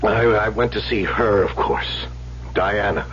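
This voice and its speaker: A deep-voiced, bitter older patient. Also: male voice, measured pace, a bit bright. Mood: wry, confessing. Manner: sardonic, prickly. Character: bitter, needling, troubled older male therapy patient near sixty. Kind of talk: old-time radio drama acting. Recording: muffled, hissy old radio broadcast recording.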